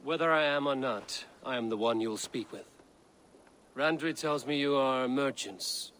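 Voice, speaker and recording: A man playing a calm, confident Viking clan leader in a video game, measured and dry. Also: medium pitch, close to an everyday voice. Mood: guarded. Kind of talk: video game character acting.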